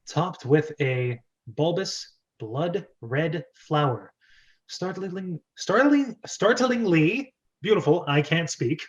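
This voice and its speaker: A man with a fairly high voice reads screenplay directions aloud as host of a casual group reading. His delivery is theatrical and jokey, with informal asides. The audio is slightly dull and lo-fi. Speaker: host and script reader.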